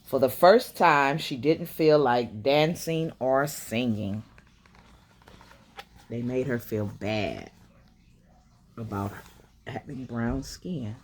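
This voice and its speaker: Slow-paced woman reading a bedtime story. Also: very deep voice, a little hiss or hum. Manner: slow, expressive reading with quiet murmured asides. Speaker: storyteller reading a picture book aloud. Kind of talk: bedtime story read-aloud with comments on the pictures.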